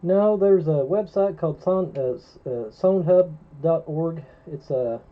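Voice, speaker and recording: A man's voice: fairly high pitch, unhurried. A man talking casually and informatively as an operator on an amateur radio net. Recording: dull lo-fi ham radio audio.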